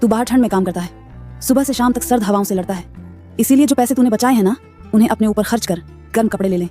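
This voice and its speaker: Woman voicing a gentle old mother; soft, mellow tone, higher pitch, talks fast. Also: faint music behind, close to an everyday voice. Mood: tender. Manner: soothing, motherly. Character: poor caring old mother, gentle and steady. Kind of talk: animated story voice acting.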